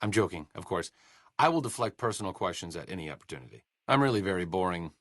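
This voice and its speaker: A man's voice: low-pitched, very animated, fast talker, dry recording. An actor playing a sardonic, bookish male wasteland doctor with dry, self-deprecating wit in a video game. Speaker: game companion character.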